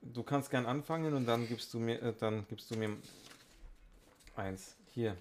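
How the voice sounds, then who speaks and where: A man's voice: mid-range voice, measured pace, a little hiss or hum. A man co-hosting a podcast in informal, playful chat. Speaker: podcast co-host.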